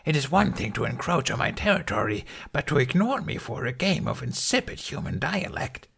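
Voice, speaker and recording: A man's voice: mid-range voice, very animated, a little fast, vocal fry, a bit bright, breathy edge, voice pushed up, full character voice. A man voicing a haughty, easily affronted female dragon with imperious, formal speech in an audiobook. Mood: huffy. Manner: imperious, indignant, formal diction.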